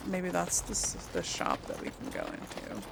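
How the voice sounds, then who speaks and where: A woman's voice: extremely low pitch, wildly swooping pitch, normal speed, gravelly, noisy background. A woman doing casual let's play commentary, chatting and musing aloud as she plays. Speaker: game streamer, role-player. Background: game ambience.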